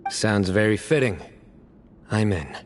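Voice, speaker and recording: Low, grave young leader. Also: male voice, expressive, a little hiss or hum. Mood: approving. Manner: measured, serious. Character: grave, resolute young male leader, direct and persuasive. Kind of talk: video game voice acting.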